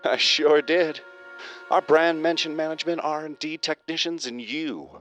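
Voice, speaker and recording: An actor playing an overly cheerful, salesy corporate training-video presenter in an audio drama. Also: male voice, a little high, steady tone, moderate pace, fairly bright tone, natural voice. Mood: slick, cheerful. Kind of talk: scripted corporate promo narration in audio drama. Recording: music underneath.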